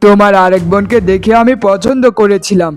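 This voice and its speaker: Man acting a high-pitched cartoon village gentleman. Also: steady tone, brisk, soft background music, lightly acted. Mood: angry, scornful. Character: men of a landlord tale: messenger and proud sons. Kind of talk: animated moral-tale voice acting.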